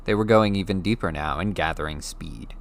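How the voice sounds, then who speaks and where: A man reading a novel chapter aloud as an audiobook narrator, with steady, clear storytelling. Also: low voice, brisk. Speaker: audiobook reader.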